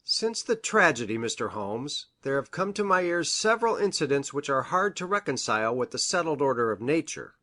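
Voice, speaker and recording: A woman acts out a grave gentleman doctor from a detective storybook. He speaks formally and precisely, in measured sentences, with a lively rise and fall in pitch. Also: full character voice. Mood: troubled, grave. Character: grave, hesitant male country doctor, troubled witness. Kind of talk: audiobook character reading.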